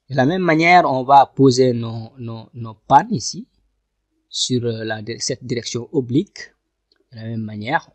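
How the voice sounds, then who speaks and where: A man giving a screen-recorded software tutorial, talking through each click step by step and often repeating himself. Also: mid-range voice, no echo. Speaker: software instructor. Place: at a computer, voice over screen capture.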